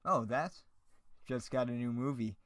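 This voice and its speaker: A man's voice: mid-range voice, unhurried, fairly bright tone, no room sound, clean studio sound, close to an everyday voice. A man playing a vain, evasive, theatrical movie actor in the style of a 1940s radio drama.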